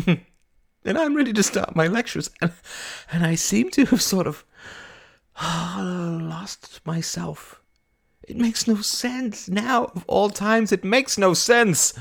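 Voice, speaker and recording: A man's voice: on the high side, moderate pace, vocal fry, breathy edge, voice pushed up. A man voicing a giddy, overwrought young woman in an audiobook, theatrical, breathless and stammering, with a proud, tearful edge and brittle laughter. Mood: tearful, laughing. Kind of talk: audiobook character acting.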